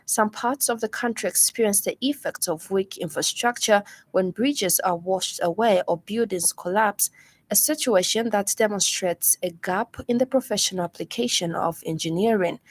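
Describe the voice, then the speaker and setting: A woman with a Zambian accent reads a scripted radio news bulletin in a formal, measured way. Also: medium pitch. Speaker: news reader.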